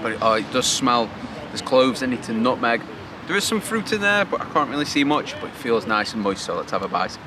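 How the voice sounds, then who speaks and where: A man's voice: mid-range voice, sing-song, fairly quick. A man in his thirties from Britain, a travel vlogger chatting casually outdoors. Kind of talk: casual vlog chat. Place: outdoors in a city park. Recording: noisy outdoor location sound.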